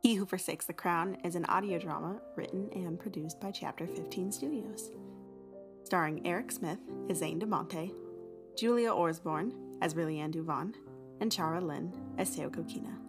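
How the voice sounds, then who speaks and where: A woman with a fairly low voice reads scripted credits as an announcer. Plucked guitar music plays clearly behind her. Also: normal speed.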